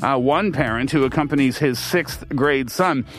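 A man's voice: mid-range voice, normal speed, fairly bright tone. A man from the East Coast of Canada hosting a radio show from a studio, explaining steadily with the odd filler. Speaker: radio host. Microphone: studio mic.

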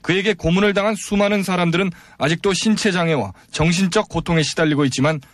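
A man delivering a scripted television news report in a steady, formal cadence. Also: high voice, fast talker, no room sound.

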